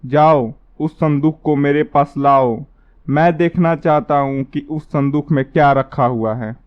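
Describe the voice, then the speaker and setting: Storybook cartoon prince, male actor: noble, courteous, measured delivery, lightly lo-fi recording. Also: a little high, smooth, natural voice. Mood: curious, commanding. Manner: measured, formal, commanding. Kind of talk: Hindi cartoon storybook acting. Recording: slightly dull, lo-fi sound.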